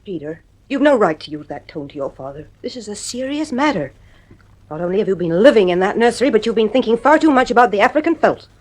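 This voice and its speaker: A woman's voice: mid-range voice, very animated, quick pace, darkish tone. An actress playing an anxious, nervy mother in a radio drama, earnest and insistent with her husband. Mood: firm.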